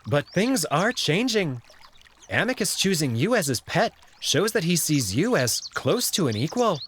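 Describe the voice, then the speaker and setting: A man's voice: on the high side, sing-song, a little slow, a bit bright, a little hiss or hum. A man voicing a friendly, well-spoken alien servant in a visual novel, explaining things politely. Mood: earnest. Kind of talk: visual novel character reading.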